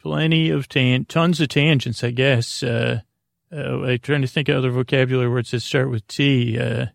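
A man with a creaky voice hosting a bedtime podcast, rambling in a halting, soothing way full of tangents and trailing thoughts. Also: mid-range voice, steady tone, no echo, studio quality. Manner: soothing, lulling. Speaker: podcast host.

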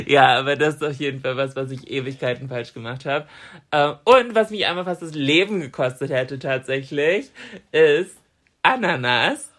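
A woman's voice: deep voice, normal speed. Lady in her 30s, podcast cohost, tells stories with a voice that jumps all over, laughs a lot. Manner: animated storyteller, laughs often, acts out her own quotes. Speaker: podcast co-host. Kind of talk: casual chat, anecdote telling.

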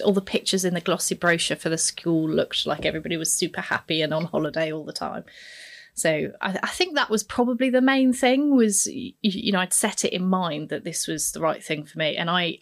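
A woman's voice: fairly low pitch, a little fast, creaky. A woman who grew up in East Africa, a podcast guest, reflecting on her past in a casual interview and searching for her words.

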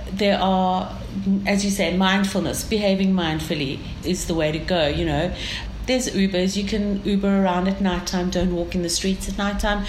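A South African woman speaks as an interview guest, painting pictures with vivid, descriptive storytelling. Her voice sits in a middling register. The room around her rings with echo, and a faint hum of ambient noise sits underneath. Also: mid-range voice. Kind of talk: enthusiastic conversational interview.

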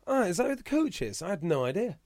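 A man hosting an interview podcast, asking measured follow-up questions. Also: sits high, very animated, darkish tone, dry recording. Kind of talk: conversational interview.

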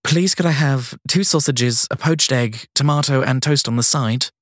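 A man's voice: medium pitch, normal speed, fairly bright tone, dry recording, studio quality, pitched above the performer's own voice, natural voice. A man voicing a guarded, uneasy woman in an audiobook, speaking politely and with reserve. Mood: polite, reserved. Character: guarded, uneasy woman guest hiding from her past. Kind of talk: audiobook character dialogue.

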